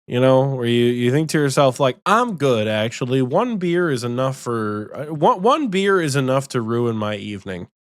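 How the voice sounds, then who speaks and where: A man's voice: mid-range voice, very animated, normal speed, few pauses, no room sound, clean studio sound. A man in his late twenties co-hosting a podcast, chatting casually, rambling and swearing now and then. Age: 20s. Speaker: podcast co-host. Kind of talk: casual chat.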